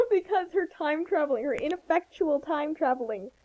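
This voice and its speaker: A woman's voice: high voice, warm tone. A woman co-hosting a casual, wry commentary, heard through a dull, muffled connection. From United States. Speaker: co-host of a commentary.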